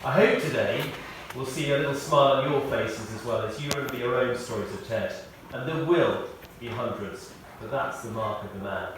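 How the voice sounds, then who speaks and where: A man reading a prepared tribute as a eulogist, telling stories in a measured way with dry humour. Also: medium pitch. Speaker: eulogist at a memorial service. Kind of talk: prepared spoken tribute, read aloud. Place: speaking in a large church. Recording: echoey church, distant mic, faint noise.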